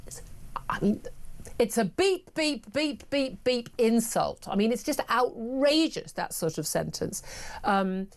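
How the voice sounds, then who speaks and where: A woman's voice: on the high side, very animated, measured pace, vocal fry. Emphatic woman hosting talk radio. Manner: emphatic, indignant, many restarts. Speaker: radio talk show host. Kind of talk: opinionated talk radio discussion.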